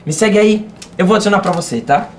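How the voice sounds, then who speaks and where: A young man playing a relaxed, sporty, nature-loving friend who goes along agreeably. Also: high-pitched, fast talker, a little hiss or hum, natural voice. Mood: easygoing.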